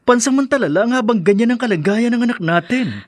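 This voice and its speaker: A man playing an anxious, placating husband who speaks haltingly in a radio drama. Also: very high-pitched, expressive, no room sound, studio quality. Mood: defensive, pleading. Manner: hesitant, halting. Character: anxious, placating husband torn between wife and mother. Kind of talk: Tagalog radio drama acting.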